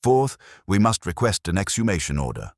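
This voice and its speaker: A man voicing a coolly analytical, commanding Victorian detective in an audiobook, speaking with precise, deliberate phrasing. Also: deep voice, very animated, a little mellow, no echo, studio quality, voice pushed up, exaggerated voice. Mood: incisive.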